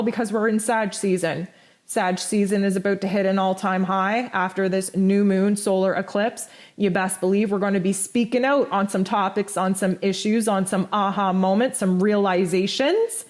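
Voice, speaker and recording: A woman with a hoarse, strained voice giving a blunt, animated spiritual forecast as host of her own channel. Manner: blunt, animated, pitch jumps high for emphasis. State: hoarse, nearly lost voice. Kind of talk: casual spoken forecast.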